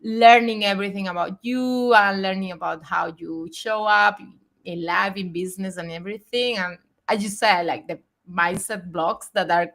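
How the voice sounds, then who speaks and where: A woman's voice: mid-range voice, steady tone, normal speed, fairly bright tone. A woman in her forties hosting a live video chat, speaking in a reflective, earnest way with frequent fillers. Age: 40s. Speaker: host.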